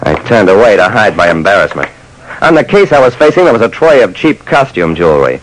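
A man's voice: on the low side, big pitch swings, a little fast, a little hiss or hum, low-quality recording. An actor playing a wry, slangy detective who narrates his case in a clipped old-time radio style. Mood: narrating. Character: dry, soft-hearted male detective telling his case. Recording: vintage radio broadcast.

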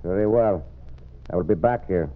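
A man's voice: deep voice, normal speed, close to an everyday voice. A man playing an earnest, heroic gunfighter in a radio western, speaking formal, careful English with a Mexican accent. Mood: grimly resolved. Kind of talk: old-time western radio acting. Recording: noisy, narrow-band vintage radio recording.

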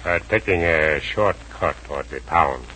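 An actor playing a calm, helpful ally in a 1940s radio adventure serial, speaking in a measured way. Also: male voice, extremely low pitch. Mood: calm, reassuring. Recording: noisy old radio broadcast.

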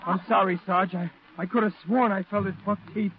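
An actor playing a brash, excitable, comic Marine corporal in a 1940s radio drama. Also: male voice, high-pitched, brisk. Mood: sheepish. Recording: muffled old 1940s radio recording.

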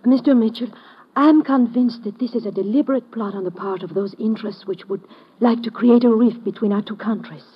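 Husky, courteous museum assistant. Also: female voice, fairly high pitch, brisk. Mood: courteous, earnest. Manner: courteous, formal, careful diction. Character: polite, earnest female museum assistant. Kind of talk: old-time radio drama acting. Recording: dull old radio recording.

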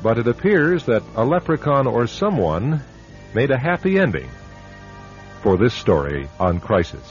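A man hosting an old-time radio drama, delivering scripted narration in a measured, formal manner. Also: mid-range voice, sing-song, faint music behind. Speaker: host. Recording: dull old radio recording.

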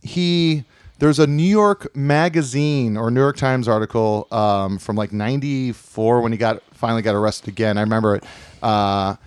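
A man's voice: medium pitch, moderate pace, smooth, dry recording. A man from Hawaii telling a family story in casual chat, rambling with many fillers and restarts.

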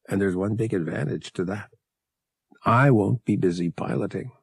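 Guy reading a flirty rogue pilot dude in an audiobook, raspy low-key voice, confident and teasing, dry sound. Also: moderate pace, no echo, exaggerated voice. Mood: flirtatious, reassuring. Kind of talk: audiobook character voice.

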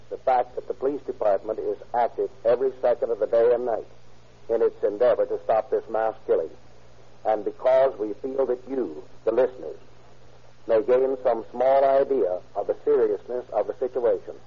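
A man's voice: mid-range voice, normal speed, breathy edge, close to an everyday voice. A man playing a police chief, making a solemn, earnest appeal in a 1940s radio address. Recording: muffled old radio.

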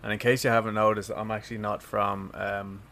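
A man's voice: fairly low pitch, little pitch movement, brisk, fairly bright tone. A man with an Irish accent, co-hosting a podcast in casual, joking chat. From Ireland. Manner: joking, laughs easily. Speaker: podcast co-host.

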